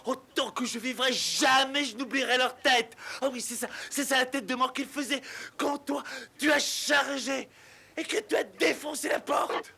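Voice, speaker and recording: High-pitched, theatrical cartoon toad. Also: male voice, steady tone, moderate pace, gravelly, sharp tone, slight background noise, full character voice. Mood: gushing, excited. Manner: theatrical, gushing, exclamatory. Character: vain, boastful, excitable male toad gentleman, theatrical. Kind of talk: French cartoon dub acting.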